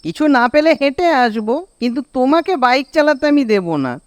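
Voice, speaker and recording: Man voicing a very high-pitched bossy young Bengali. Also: very animated, brisk, clean tone, no room sound, clean studio sound. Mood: exasperated. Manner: nagging, exasperated. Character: bossy, scolding young village man, cartoon friend. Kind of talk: animated cartoon dialogue.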